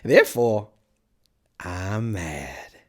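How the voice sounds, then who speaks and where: Slow, swooping, mad Cheshire Cat. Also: sits low, animated, heavily acted. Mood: teasing, mad. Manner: drawn-out words, swooping and teasing. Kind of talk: storybook character voice.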